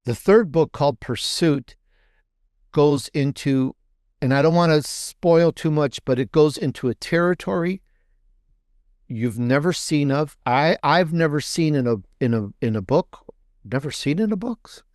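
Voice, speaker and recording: A man talking casually as a guest author in an interview, halting and restating phrases for emphasis. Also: a little high, darkish tone, no echo, studio quality. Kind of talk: casual promotional interview talk.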